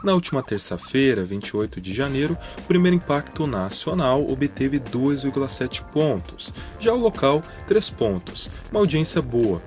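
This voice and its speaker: A Brazilian man with a mid-pitched voice narrates news commentary. He explains things informally, as in a video rundown. Music is clearly audible behind his voice. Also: very animated, normal speed, few pauses, darkish tone. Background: background music.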